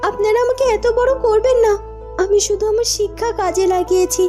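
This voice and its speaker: A woman's voice: very high voice, brisk, mellow. A woman playing a humble, kind young princess in a fairy-tale cartoon, modest and earnest. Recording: music behind.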